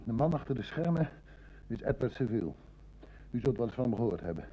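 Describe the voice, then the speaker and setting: An actor with a Netherlands Dutch accent playing a nervous, indignant small-time crook in an old radio drama, protesting in an agitated way. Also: male voice, medium pitch, sing-song, quick pace, dark tone, husky. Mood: resigned, confessing. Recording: dull old radio-play recording.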